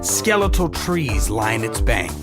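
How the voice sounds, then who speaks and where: A man's voice: lowish voice, very animated, slow pace. A man narrating a scripted adventure story in a dramatic style. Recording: loud music under narration.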